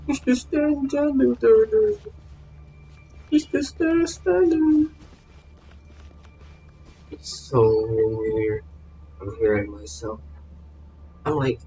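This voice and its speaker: A man's voice: mid-range voice, slow, pauses often, dark tone, soft background music, rough recording. A man streaming a video game, giving casual commentary and often repeating his words and phrases.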